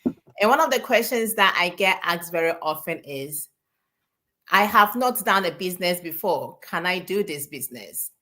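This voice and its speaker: Woman from Ghana, low and bright, earnest panel speaker who stresses her points by repeating them. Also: lively intonation, moderate pace. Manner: earnest, encouraging, repeats for emphasis. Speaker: guest speaker. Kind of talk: live online panel talk.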